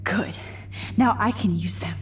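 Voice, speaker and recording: An actress playing a defiant young female student held captive in a video game, speaking in an earnest, hushed manner. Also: on the low side, unhurried, a little hiss or hum, close to an everyday voice. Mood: anxious resolve. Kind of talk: naturalistic video game voice acting.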